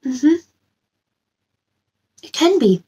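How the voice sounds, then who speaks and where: A woman in her thirties speaking to young children as a story time host, with an expressive, simple delivery. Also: high voice, drawn-out words. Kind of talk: children's read-aloud and chat to young viewers.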